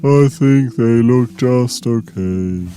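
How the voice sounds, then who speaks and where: A man's voice: medium pitch, takes it slowly, warm tone, close to an everyday voice. A man voicing an earnest, literal-minded male helper who takes everything at face value, with music playing behind. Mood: deadpan. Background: background music.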